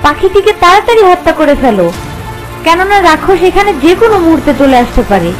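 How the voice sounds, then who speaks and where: A woman's voice: extremely high pitch, sing-song, fast talker, low-quality recording. A woman voicing a young, earnest, anxious princess in a cartoon fairy tale. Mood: earnest, urgent. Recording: music behind the voice.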